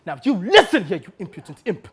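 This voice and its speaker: A man puts on a haughty thespian in a comic story. He is thin-skinned and snobbish, scolding and threatening with a very animated rise and fall. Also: high voice, moderate pace, full character voice. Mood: indignant, threatening. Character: touchy, indignant British actor, snobbish and threatening.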